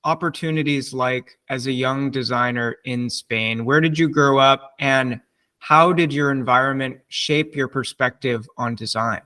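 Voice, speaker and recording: A man hosts a podcast interview in a relaxed way. He phrases his questions loosely, in a voice at an ordinary middle pitch. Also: unhurried. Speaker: podcast host.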